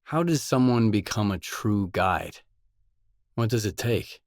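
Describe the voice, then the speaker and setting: A man's voice: on the low side, animated, a little slow, no room sound, clean studio sound. An actor playing a guarded, blunt male stalker, the protagonist of a post-apocalyptic video game, speaking in terse scripted lines. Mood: matter-of-fact, probing. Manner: terse, blunt, probing questions.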